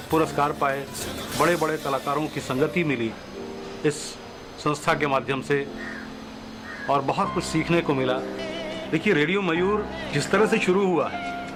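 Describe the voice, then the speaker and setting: Bihari male artist, somewhat high voice, tribute talk with very loud music behind. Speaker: stage artist, group member. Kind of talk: tribute testimonial.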